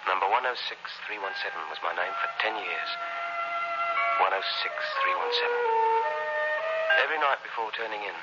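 An actor playing a weary, bitter, badly wounded young ex-convict in a 1960s radio drama, halting and weak. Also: male voice, on the low side, animated, over loud music, close to an everyday voice. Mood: bitter, brooding. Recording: muffled, noisy old radio recording.

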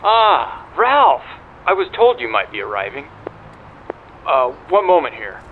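A man's voice: a little high, very animated, unhurried, phone-quality sound, background noise. A man playing a chatty, conspiratorial coin-club ticket clerk in an audio drama, with a chirpy, knowing manner. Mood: officious welcome.

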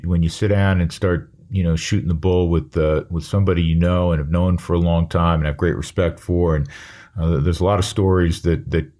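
A man's voice: deep voice, darkish tone. A man hosting a casual interview, blunt and opinionated, with some mild swearing. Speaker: podcast host.

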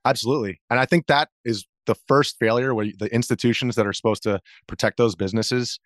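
An American man speaking as an interview guest, explaining things in a measured, conversational way. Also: medium pitch, dry recording, studio quality. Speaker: interview guest, video journalist.

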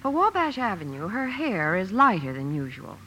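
A woman's voice: medium pitch, sing-song, normal speed, fairly bright tone. An American woman giving scripted promotional patter as a 1950s radio Hollywood reporter. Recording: noisy vintage 1950 radio broadcast.

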